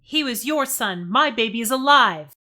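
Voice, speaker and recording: A man voicing a jealous, spiteful young mother in a shrill falsetto. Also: lively intonation, moderate pace, sharp tone, heavily acted. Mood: defiant.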